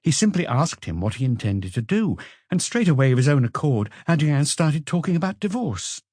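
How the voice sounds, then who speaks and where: An audiobook character, an anxious and guarded young woman, played by a male reader. The voice is raised and lilting, with a soft, hesitant way of answering. It sounds close and dry. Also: a little high, big pitch swings, moderate pace, no room sound, pitched above the performer's own voice. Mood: earnest. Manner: hesitant, evasive, soft-spoken.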